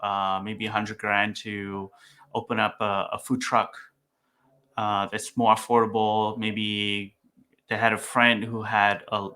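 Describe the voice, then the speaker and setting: A man's voice: lowish voice, pushed and bright. A young man from Vietnam, a startup founder talking casually as a podcast guest, with many fillers and restarts. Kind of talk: casual interview talk.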